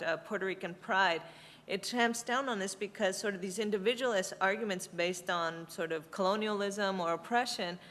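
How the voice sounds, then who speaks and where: A woman's voice: fairly low pitch, fairly bright tone. Woman, panelist, academic discussion, thoughtful, many fillers, long hall reverb. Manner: thoughtful, explanatory, with frequent fillers. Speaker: panelist, researcher. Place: lecture hall.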